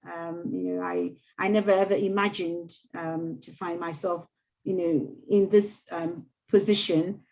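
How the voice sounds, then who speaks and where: Soft-spoken woman, halting speech. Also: lowish voice, steady tone, slight background noise, rough recording. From Ghana. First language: not English. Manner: soft, halting, with many fillers and restarts. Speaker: mayor, interview guest. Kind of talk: casual interview conversation.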